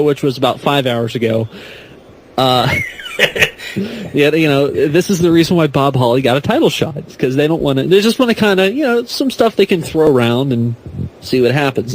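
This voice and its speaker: A man chatting casually as a podcast guest, joking and quick to laugh. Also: mid-range voice, rough recording. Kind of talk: casual chat. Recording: faint background noise.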